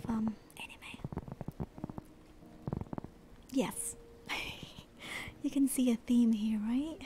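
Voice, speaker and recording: A woman's voice: on the high side, noisy background. Airy whispering young woman streamer. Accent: slight non-American accent. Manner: relaxed, rambling, reads chat aloud. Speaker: VTuber streamer. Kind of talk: whispered ASMR chat.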